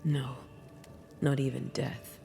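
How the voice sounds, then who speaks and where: An actress playing a passionate, brooding ghost woman in a video game, with a breathy, whispery, intimate delivery. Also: extremely low pitch, level tone, faint music behind, full character voice. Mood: tender, resolute. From Cuba (the character). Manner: slow, hushed, deliberate.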